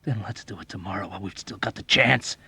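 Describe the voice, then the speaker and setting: A man voicing a belligerent, desperate young airman in an audiobook novel, with a thick, hoarse voice and an agitated, pleading, shouting manner. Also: on the low side, normal speed, dry recording.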